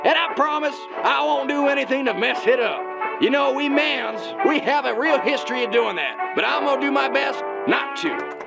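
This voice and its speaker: Man playing a high, creaky, blustering folksy boss. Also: lively intonation, normal speed, exaggerated voice. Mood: grateful, rallying. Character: blustering, folksy male boss ruling a foundation. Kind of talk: satirical radio drama acting. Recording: music playing under the voice.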